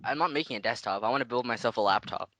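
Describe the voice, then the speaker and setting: A man's voice: medium pitch, very fast, pushed and bright, no room sound. A man making a casual prank phone call, rambling in slang and persistently asking naive questions. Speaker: prank caller.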